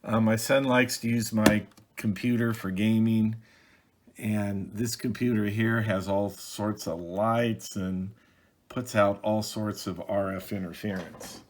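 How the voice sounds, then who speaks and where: A man's voice: on the low side, fairly even intonation, takes it slowly, a little hiss or hum. A middle-aged man casually explaining a hobby project in a home office, hesitant and plainspoken with frequent ums. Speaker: radio hobby video host. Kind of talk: casual how-to demonstration.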